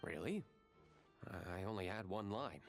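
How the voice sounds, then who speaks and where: An actor playing a cool, terse, imposing young gentleman in a video game. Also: on the low side, lively intonation, a little slow, soft background music. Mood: dry. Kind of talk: video game character acting.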